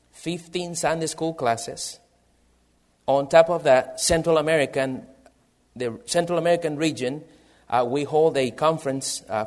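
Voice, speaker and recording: A man's voice: mid-range voice, darkish tone. Salvadoran-accented man preaching. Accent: Salvadoran Spanish. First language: Spanish. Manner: earnest, measured, reads from prepared notes. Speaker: missionary pastor. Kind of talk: missionary church presentation and sermon.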